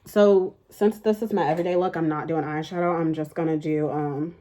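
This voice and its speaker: Young woman beauty vlogger, deep and mellow, loose rambling delivery. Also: fairly even intonation, a little fast. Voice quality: fairly deep speaking voice. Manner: rambling, chatty, heavy use of "like" fillers. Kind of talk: casual makeup tutorial chat.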